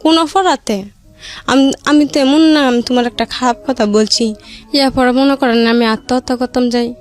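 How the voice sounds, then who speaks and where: A woman with a high-pitched voice tells her own life story as a radio guest. She speaks a rural Bangladeshi dialect in a halting, unpolished way, with faint music behind her. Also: steady tone. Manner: halting, plain-spoken, hard to follow. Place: radio studio.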